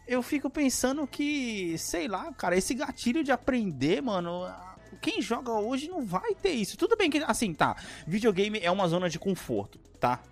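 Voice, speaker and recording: A man's voice: sits high, big pitch swings, moderate pace, few pauses. A man co-hosting a casual podcast, rambling in slangy chat with lots of fillers. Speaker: podcast co-host. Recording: faint music behind.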